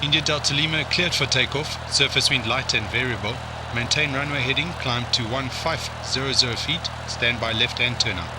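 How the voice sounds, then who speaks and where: A man playing an airport tower controller, reading out scripted clearances in radio-call practice. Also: mid-range voice, moderate pace, hardly any pauses, natural voice. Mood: procedural. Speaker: air traffic controller in practice exercises. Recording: clear vehicle-like background noise.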